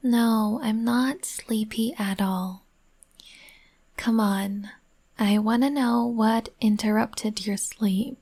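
A woman whispering softly and soothingly, speaking as a comforting partner in a roleplay. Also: fairly high pitch, fairly even intonation, takes it slowly. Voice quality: breathy.

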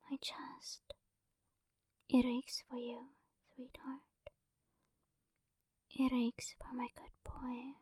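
A woman doing a whispered romantic ASMR roleplay, speaking softly and coaxingly, close to the microphone. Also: a little high, no room sound. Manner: soft breathy whispering, affectionate and coaxing. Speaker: ASMR performer.

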